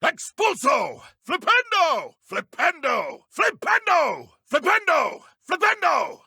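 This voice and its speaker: Sharp forceful dark wizard, combative, scornful, raspy, wildly swinging pitch, game barks. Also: male voice, huge pitch swings, slow pace, no echo, clean studio sound, exaggerated voice. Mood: aggressive spell casting.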